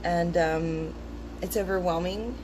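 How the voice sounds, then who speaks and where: Woman, low-pitched, unhurried casual reader-rambler, steady background hum. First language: English. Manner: relaxed, thoughtful, with frequent ums and pauses. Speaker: vlogger discussing esoteric teachings. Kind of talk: casual rambling talk mixed with reading aloud.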